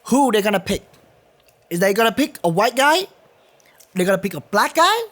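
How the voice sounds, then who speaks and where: A man's voice: high voice, big pitch swings, moderate pace, a bit bright. A man from Vietnam with a Vietnamese accent, speaking English as a second language as a guest musician on a podcast. He talks earnestly and emphatically, repeating phrases to drive his point home, in a recording studio. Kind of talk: casual interview conversation.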